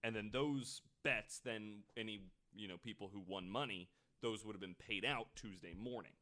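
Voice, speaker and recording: A man's voice: lowish voice, moderate pace, sharp tone. A man hosting a podcast, telling a true-crime story in a casual, conversational way with the odd swear word. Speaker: podcast host.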